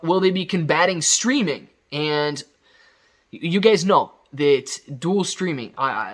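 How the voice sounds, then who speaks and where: A man's voice: fairly high pitch, a bit bright. A man hosting a casual, off-the-cuff news recap, talking straight to viewers in an emphatic, enthusiastic, conversational way. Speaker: video host recapping company news.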